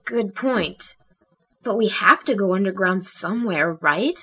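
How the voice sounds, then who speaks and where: A woman playing a calm, reassuring member of a cartoon animal team, speaking gently in an amateur radio drama. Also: medium pitch, measured pace, husky, exaggerated voice, poor audio. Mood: calm, questioning.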